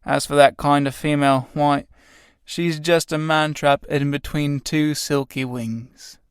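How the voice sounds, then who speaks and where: Reader doing a gruff tramp. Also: mid-range voice, steady tone, a little slow, dry recording, studio quality, natural voice. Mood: scornful. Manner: gruff, blunt, dialect-heavy. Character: coarse, grumbling old male tramp.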